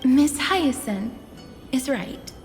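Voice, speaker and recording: A gentle ceremonial chronicler. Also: female voice, high-pitched, lively intonation, extremely slow, music in the background, heavily acted. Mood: poised. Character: gracious female chronicler carrying a great book. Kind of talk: video game voice acting. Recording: game soundtrack behind.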